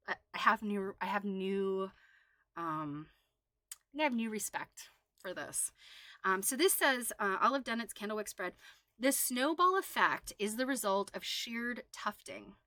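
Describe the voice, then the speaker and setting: Bright-voiced, chatty woman livestreamer. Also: medium pitch, lively intonation, measured pace, dry recording. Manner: chatty, enthusiastic, exclaiming. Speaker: livestream host. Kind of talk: casual livestream chat with reading aloud from a quilting book.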